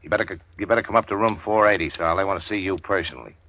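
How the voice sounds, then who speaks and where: A deep, crisp wisecracking gangster. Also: male voice, very fast, pushed and bright, a little hiss or hum, low-quality recording. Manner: dry, wisecracking, unflappable. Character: cool-headed male gangster go-between. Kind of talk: 1940s radio drama acting.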